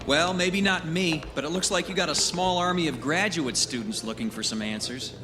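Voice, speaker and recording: A man's voice: a little high, moderate pace, noisy background, close to an everyday voice. A man in a radio drama playing a cynical, sarcastic media man with a challenging, sardonic manner.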